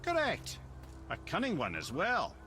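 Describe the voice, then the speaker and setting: An actor playing a wise, witty old storyteller in a video game, eloquent and dramatic. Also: male voice, sits high, huge pitch swings, a little slow, sharp tone, faint music behind, full character voice. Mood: wry, curious. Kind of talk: cinematic video game dialogue.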